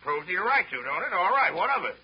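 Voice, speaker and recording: A man's voice: high-pitched, very animated, fast talker, slightly rough, full character voice. An actor playing a folksy, exasperated middle-aged showboat man and henpecked husband in a 1930s radio drama. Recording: dull old 1930s radio broadcast.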